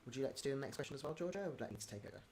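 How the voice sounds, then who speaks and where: A man of middle pitch hosts a relaxed livestream question-and-answer session over a video call. He talks loosely, stumbling through ums and restarts as he gushes about the cast. A faint hiss of noise sits under his voice. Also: racing. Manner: hesitant, lots of ums and restarts, enthusiastic praise. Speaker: livestream host running a cast Q&A. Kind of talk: casual livestream chat.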